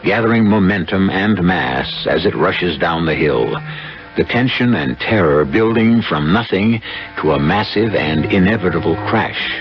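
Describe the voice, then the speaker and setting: An actor as the ominous, wry host of a 1970s radio mystery, narrating in a theatrical, portentous way. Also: male voice, deep voice, gravelly, full character voice. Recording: dull vintage radio broadcast with music.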